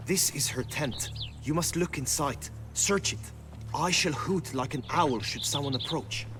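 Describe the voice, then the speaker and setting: An actor playing an earnest, courtly knight in a video game, with formal, old-fashioned diction. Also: male voice, on the high side, unhurried, over music, natural voice. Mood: urgent, nervous.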